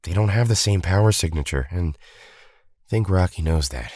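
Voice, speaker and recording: A man's voice: low-pitched, lively intonation, normal speed, darkish tone, husky, dry recording, clean studio sound. A man playing an earnest, troubled young superhero team leader in an audio drama, questioning and conscientious.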